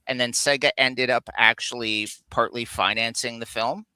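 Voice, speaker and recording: A man with a very bright, forward voice and crisp, clean tone, recorded close and dry. He co-hosts a geek show, explaining trivia in casual, chatty conversation. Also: mid-range voice, fairly even intonation, normal speed, no echo. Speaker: co-host of a live geek countdown show. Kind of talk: casual chat, enthusiastic explaining of film trivia.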